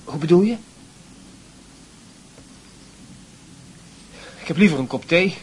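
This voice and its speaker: An actor playing a shy, curious, polite teenage schoolboy in a radio play, hesitant and questioning. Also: male voice, very fast, slightly rough. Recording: noisy radio play, rumbling background.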